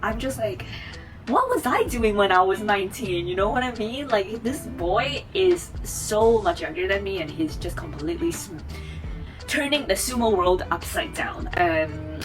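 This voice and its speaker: A woman's voice: medium pitch, very animated, a little slow, music in the background, low-quality recording. A woman in her twenties from Taiwan, chatting to camera as a vlogger, rambling with many fillers and restarts. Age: 20s. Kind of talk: casual chat to camera.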